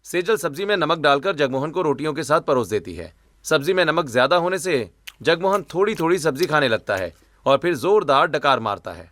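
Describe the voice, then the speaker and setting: A man's voice: a little high, expressive, brisk, a bit bright. A man narrating a scripted cartoon story.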